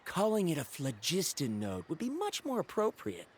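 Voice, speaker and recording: A man's voice: fairly high pitch, huge pitch swings, moderate pace, slight background noise. An actor playing an earnest male researcher in a video game, explaining things in a formal, pedantic way. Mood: earnest, eager. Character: earnest scholarly male researcher of ancient dragons. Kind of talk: scripted video game dialogue.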